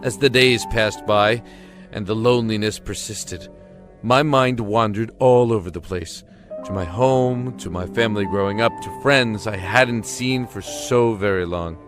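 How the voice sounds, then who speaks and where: Low-pitched man narrating a somber dramatic story. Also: a little slow. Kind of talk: scripted first-person dramatic storytelling. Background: music.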